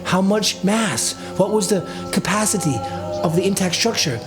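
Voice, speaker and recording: A man's voice: high-pitched, normal speed, over music, voice pushed up, natural voice. A man voicing a moody eighteen-year-old son who is sarcastic, righteous and insistent, in a dramatic audiobook. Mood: taunting, angry. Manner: mocking, insistent.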